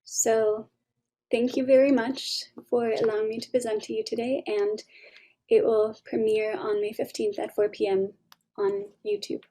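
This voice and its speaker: A woman's voice: on the high side, level tone, dark tone. A woman presenting her project over a video call, talking informally and hurrying to fit her time. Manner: hurried, informal asides. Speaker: student conference presenter. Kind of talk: casual presentation. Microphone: video call microphone.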